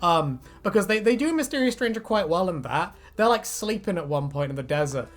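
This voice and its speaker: A woman co-hosting a casual chat about fan theories, animated, giggly and swearing freely. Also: lowish voice, brisk, soft background music. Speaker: video co-host.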